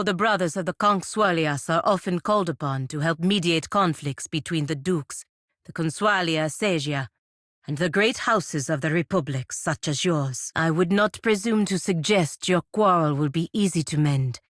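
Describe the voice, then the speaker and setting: An actress voicing a proud, stern warrior knight in a video game, speaking in a formal, measured, admonishing way. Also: female voice, fairly low pitch, a bit bright, dry recording, clean studio sound, natural voice. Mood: solemn counsel. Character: proud, stern warrior knight sworn to her republic, woman. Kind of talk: video game character dialogue.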